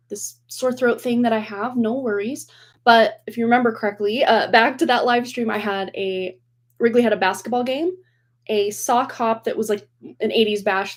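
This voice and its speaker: A woman in her forties hosting a tarot livestream, chatting casually and rambling with frequent laughs and restarts, her voice a little worn from recent voice loss. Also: medium pitch. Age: 40s. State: recovering from lost voice, slightly worn.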